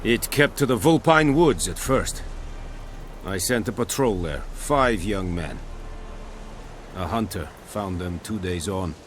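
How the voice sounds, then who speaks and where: A stern foreign commander. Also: male voice, low voice, animated, a little hiss or hum. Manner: formal, measured, commanding. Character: stern male foreign army commander, formal. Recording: game music behind.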